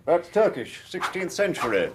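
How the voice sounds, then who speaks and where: A man's voice: high-pitched, big pitch swings, normal speed, background noise. A man acting a loyal, protective Victorian army major in a radio drama, formal and clipped in manner.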